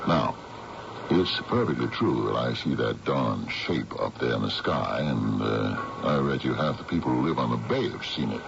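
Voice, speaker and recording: An actor playing an older, folksy uncle in a radio drama, with a gravelly voice and a halting, reflective manner. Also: male voice, rumbling low, animated, full character voice. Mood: confiding, concerned. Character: calm, folksy older uncle who sails. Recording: dull, noisy vintage radio recording.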